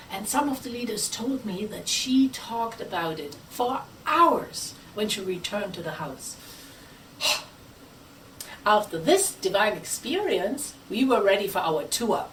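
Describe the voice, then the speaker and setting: A woman talks in a chatty, playful presenting style with very lively pitch movement. She is recorded a little away from the mic, with faint noise behind her. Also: takes it slowly, distant mic, rough recording. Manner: animated, playful. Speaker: theatre group member presenting its history.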